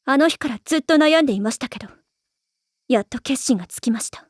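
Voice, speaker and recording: A woman's voice: high voice, expressive, fast, no room sound, studio quality. A woman voicing a proud, self-blaming teenage schoolgirl in an anime, with formal, refined ladylike speech. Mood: bitter, despondent. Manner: formal, refined ojou-sama way of speaking. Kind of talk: anime voice acting.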